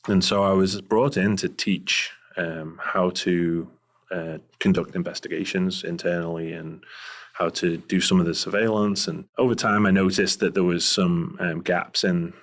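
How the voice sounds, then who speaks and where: A middle-aged man from Liverpool with a Scouse accent, talking through his career as an interview guest in a relaxed way, with many fillers. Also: low-pitched, a little slow. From Liverpool, England. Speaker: guest, private investigator. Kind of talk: casual interview talk.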